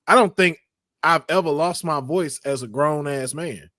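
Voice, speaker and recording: A man hosting a casual podcast, raspy and hoarse after losing his voice, joking and laughing as he talks. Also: a little high, moderate pace, a bit bright, no room sound, clean studio sound. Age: adult over twenty-two. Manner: playful, joking, laughs often. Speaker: podcast host. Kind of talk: casual chat.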